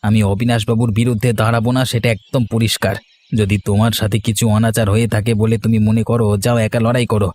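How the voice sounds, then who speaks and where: A man's voice: on the low side, little pitch movement, brisk, a little mellow, close to an everyday voice. A man playing a sly, scheming, self-righteous villager in a cartoon story, with an insinuating manner. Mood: dismissive, threatening. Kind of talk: dramatic cartoon story dialogue.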